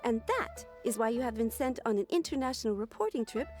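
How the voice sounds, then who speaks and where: High-voiced, poised formal secretary. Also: female voice, big pitch swings, darkish tone, soft background music. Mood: formal, composed. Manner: formal, measured, polite. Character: poised, courteous young female secretary to a noblewoman. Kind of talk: video game cutscene voice acting.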